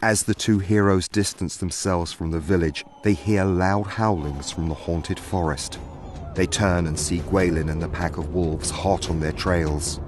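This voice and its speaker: A man's voice: sits low, steady tone, unhurried, slight background noise. A man narrating a scripted fantasy story in a dramatic storytelling style.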